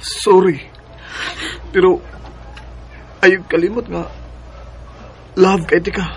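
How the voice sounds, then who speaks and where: A man's voice: high-pitched, fairly even intonation, slow pace, slight background noise. A man with a Cebuano accent playing a hesitant, apologetic young sweetheart in a radio drama. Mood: sorrowful. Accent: Cebuano Filipino. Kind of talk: Bisaya radio drama acting.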